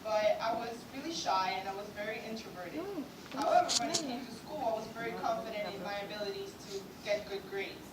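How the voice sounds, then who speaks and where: A woman's voice: mid-range voice, a little fast, over a noisy crowd. Young Cuban woman speaking in an echoey hall. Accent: Cuban Spanish. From Cuba. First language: Spanish. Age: 20s. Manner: earnest, with light self-deprecating laughs. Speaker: student award speaker. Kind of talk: prepared acceptance speech. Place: large event hall. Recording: echoey hall recorded from far off, noisy.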